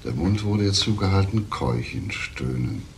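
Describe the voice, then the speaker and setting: An actor playing a probing, calmly insistent police inspector in a crime drama, prodding with short questions. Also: male voice, low voice, unhurried, creaky, slight background noise, exaggerated voice. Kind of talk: German crime drama acting.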